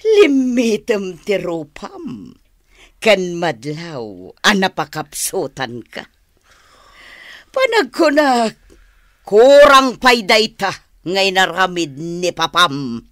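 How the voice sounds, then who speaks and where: An actress with a Filipino accent playing a cruel, mocking woman who gloats and taunts in drawn-out phrases in a radio drama. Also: medium pitch, very animated, dry recording, clean studio sound. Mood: mocking, sadistic. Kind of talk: Philippine radio drama acting.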